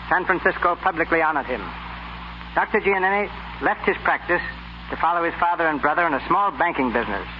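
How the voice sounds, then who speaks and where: Muffled, faintly noisy vintage broadcast: formal male announcer, oratorical scripted delivery. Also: on the high side. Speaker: radio announcer. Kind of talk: 1930s scripted radio narration. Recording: muffled 1930s radio broadcast with hiss.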